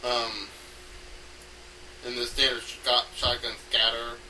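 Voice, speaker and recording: A man with a strikingly sharp, bright voice talks off the cuff in a hesitant, rambling way, working out his ideas aloud. His pitch sits in a middle range. He sounds set back from the microphone in an amateur recording. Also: completely flat delivery. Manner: hesitant, halting, thinking aloud. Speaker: amateur game designer recording an audio log. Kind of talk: unscripted rambling explanation. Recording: noisy home recording, distant from mic.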